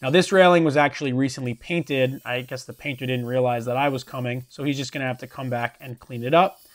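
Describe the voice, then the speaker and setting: A man in his thirties, a welder and shop owner, talking through his work in a relaxed, practical, matter-of-fact way. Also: medium pitch, a little fast. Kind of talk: explanatory how-to narration. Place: metal workshop, indoors.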